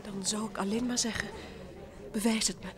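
A woman's voice: medium pitch, steady tone, normal speed, crowd noise, close to an everyday voice. An actress playing a wary, impatient married woman, formal and direct, in vintage radio drama style. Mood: impatient, insistent. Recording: old radio play recording with music.

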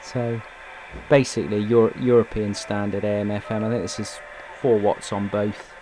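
A man's voice: lowish voice, flat delivery, normal speed, mellow. A man giving a casual, hesitant, unscripted product review and demonstration as a hobbyist, recorded on a camera microphone indoors. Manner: hesitant, informal, unscripted delivery. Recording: hissy, noisy amateur camera recording.

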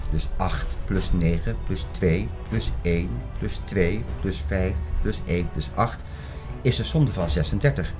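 A man playing an earnest theorist in an audio drama, lecturing in an emphatic, didactic and insistent way. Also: deep voice. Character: earnest male theorist expounding an apocalyptic conspiracy. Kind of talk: Dutch audio drama acting, lecturing monologue. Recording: prominent music bed under the voice.

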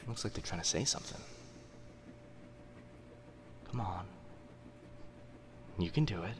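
A young man playing a teasing, kind-hearted telepath in a boyfriend ASMR roleplay, with hesitant, chatty talk and soft asides. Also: sits low, lively intonation, a little fast. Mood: musing, fond. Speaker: voice actor in audio roleplay.

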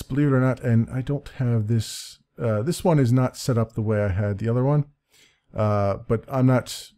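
A man with a deep, mellow voice talks through a casual tutorial from his home computer desk. He rambles, corrects himself mid-sentence and laughs lightly. The sound is close and clean, picked up by a desk-mounted condenser mic with a pop filter. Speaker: let's play creator explaining his audio setup. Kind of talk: casual screen-recorded tutorial.

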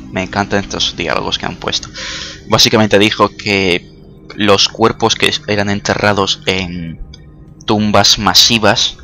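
A young man giving casual, jokey gameplay commentary, excitable and quick to swear. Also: on the low side, a little hiss or hum. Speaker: gamer. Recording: clear game music behind.

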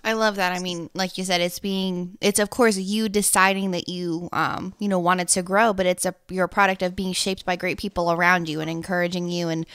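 A woman's voice: lowish voice, flat delivery, fairly quick, hardly any pauses, fairly bright tone, smooth, no echo, studio quality. An American woman hosting a podcast interview in a casual, effusive way.

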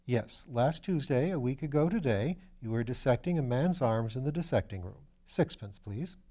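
A man reading a storybook as a calm, courteous gentleman detective, asking patient, gently coaxing questions in a measured way. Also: mid-range voice, natural voice. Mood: quietly triumphant.

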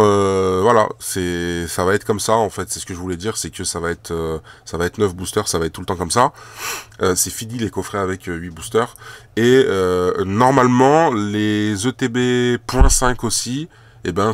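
A man's voice: fairly low pitch, normal speed, no echo. A man with a cold talking casually while handling trading cards at a table, rambling with many fillers and repeated words. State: has a cold. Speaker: card collector and shop owner.

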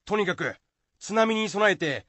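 An actor playing an anxious, rough fisherman in a radio folk tale. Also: high voice, lively intonation, unhurried, bright, forceful tone, no echo, exaggerated voice. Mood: alarmed.

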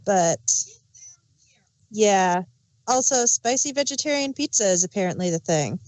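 A woman's voice: mid-range voice, animated, a little slow, darkish tone, no echo. A woman reviewing an audio drama in casual podcast chat.

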